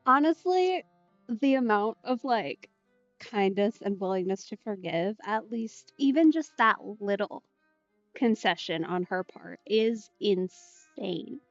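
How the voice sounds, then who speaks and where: A woman's voice: medium pitch, animated, slow, no echo, dull audio. A woman giving casual let's play commentary as a streamer. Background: game music.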